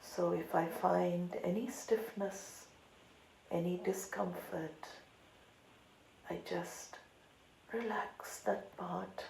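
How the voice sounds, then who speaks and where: Woman, guided meditation, mellow tone, calm and deliberate, long pauses, echoey room with faint noise. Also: on the low side, creaky. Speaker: meditation teacher. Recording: roomy echo, light background noise.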